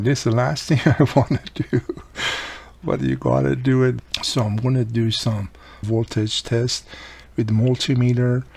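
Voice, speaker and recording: A man's voice: mid-range voice, creaky, darkish tone, husky. A man giving a casual, hands-on repair walkthrough, explaining informally step by step as he works. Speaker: radio repair hobbyist presenting his own how-to video.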